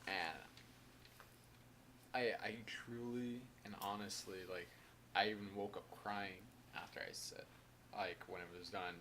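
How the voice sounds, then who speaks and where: Young man, podcast co-host, earnest and halting, lowish voice, clear background noise. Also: steady tone, pushed and bright. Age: young adult. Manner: earnest, halting, many "like" fillers. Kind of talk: casual chat among friends.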